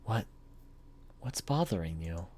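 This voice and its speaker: A man's voice: sits low, lively intonation, slow pace, background noise. A man reading a horror visual novel aloud as a let's player, in dramatic first-person story narration. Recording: music behind the voice. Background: game music.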